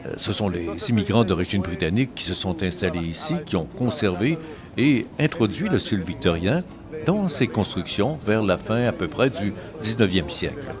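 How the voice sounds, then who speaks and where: A man's voice: on the low side, lively intonation, moderate pace. A man reading scripted travel-show narration and voice-over translation. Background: background music.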